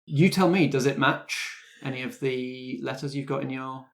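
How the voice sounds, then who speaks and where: A man's voice: mid-range voice, fairly even intonation, normal speed. A man, casually narrating and guiding players as game master.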